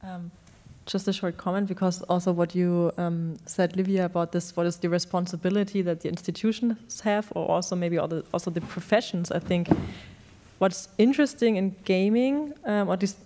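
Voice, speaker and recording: A woman's voice: fairly low pitch, fairly even intonation, normal speed, mellow, a little hiss or hum. A young woman speaking on a panel discussion, into a handheld mic on a stage, thoughtful and searching for words. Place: large stage in a hall.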